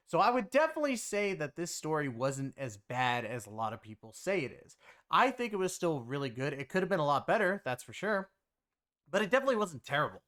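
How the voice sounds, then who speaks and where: Clear-voiced man in casual conversational review. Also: fairly high pitch, a bit bright. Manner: rambling, conversational, frequent filler phrases like "you know". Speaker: game reviewer and content creator. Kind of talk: casual video game story review.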